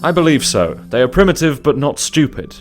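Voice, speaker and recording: A man's voice: on the high side, big pitch swings, faint music behind, pitched above the performer's own voice. Man playing an older, composed alien ambassador, voice raised. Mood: cynical, measured. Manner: formal, measured, diplomatic. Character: older, cynical Time Lord ambassador, composed and assured.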